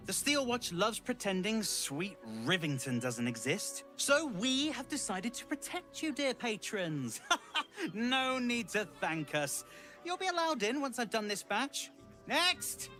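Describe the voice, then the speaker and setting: An actor playing a theatrical circus gatekeeper in a video game, grandiose and slyly courteous. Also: male voice, high voice, very animated, unhurried, bright, forceful tone, faint music behind, full character voice. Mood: showy, welcoming. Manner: grandiose, oily politeness.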